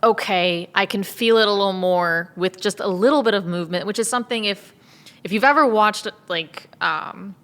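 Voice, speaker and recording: A woman voice actor chatting casually about her craft in a giggly, animated way. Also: medium pitch, moderate pace. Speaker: voice actor with theater background. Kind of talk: casual chat about acting process.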